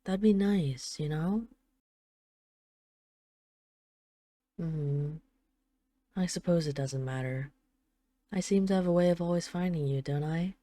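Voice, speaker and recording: A woman's voice: low-pitched, moderate pace, warm tone, no echo, studio quality, lightly acted. A woman playing a possessive dragon queen in an intimate roleplay audio drama, teasing, condescending and commanding. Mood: softly reproachful.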